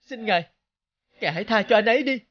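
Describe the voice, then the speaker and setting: A man playing a kind, selfless young sworn brother in an animated folk tale, with an earnest, pleading manner. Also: sits high, fast talker, muffled recording.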